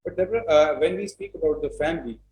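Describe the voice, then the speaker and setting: A man's voice: fairly high pitch, fast, a little mellow. A man with a Pakistani accent asking questions as a talk show host in an echoing indoor studio. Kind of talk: interview questioning. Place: indoor studio set at a desk. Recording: roomy, echoing studio sound.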